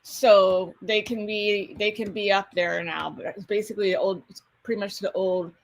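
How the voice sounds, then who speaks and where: A woman co-hosting a live stream over a video call, chatting with frequent pauses and hesitations. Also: mid-range voice, steady tone, rough recording. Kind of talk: casual chat.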